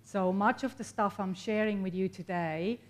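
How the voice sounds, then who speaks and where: A woman's voice: mid-range voice, normal speed. Chatty Swiss woman addressing a workshop. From Switzerland. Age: 40s. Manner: chatty, laughs often, engages the audience. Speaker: workshop speaker. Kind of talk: motivational talk to a live audience. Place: workshop event room.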